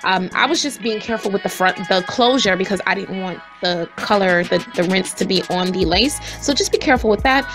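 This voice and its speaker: A woman with a raspy voice, chatting casually to her viewers as she reviews hair and walks through a tutorial. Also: medium pitch, brisk, hardly any pauses, music in the background. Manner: chatty, addresses viewers directly. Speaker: hair vlogger.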